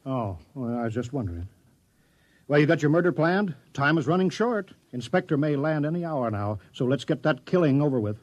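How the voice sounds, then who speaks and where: Fussy, swooping small-town mayor played by an actor. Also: male voice, fairly high pitch, expressive, dry recording, lightly acted. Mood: impatient, prodding. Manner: officious, hurried, explanatory. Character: bossy, fussy small-town colony mayor, officious and impatient. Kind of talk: 1950s radio comedy drama acting. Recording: dull, lo-fi vintage radio recording.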